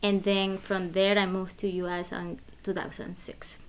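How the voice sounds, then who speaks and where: A woman from Venezuela with a Venezuelan Spanish accent, a guest on a podcast. Her voice sits at an ordinary middle pitch. She searches for words, leaning on fillers and repeats. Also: flat delivery, measured pace, clear, resonant tone. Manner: hesitant, many fillers and restarts. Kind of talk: podcast interview.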